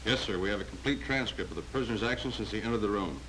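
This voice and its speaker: An actor playing a dutiful military orderly in a 1940s film, with a crisp, formal manner. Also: male voice, on the low side, very fast, close to an everyday voice. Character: brisk, obedient military orderly, male. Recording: hissy, noisy old 1940s film soundtrack.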